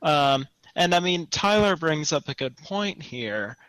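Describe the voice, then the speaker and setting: Higher-voiced young man chatting about games. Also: animated, unhurried, fairly bright tone, no room sound, poor audio. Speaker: podcast co-host. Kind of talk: casual chat, gaming discussion.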